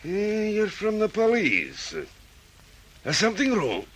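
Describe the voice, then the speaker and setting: A man's voice: high-pitched, huge pitch swings, slow, heavily acted, poor audio. A man playing a shifty, evasive secondhand tool dealer, drawling and hesitant in an old radio drama. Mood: feigned innocence.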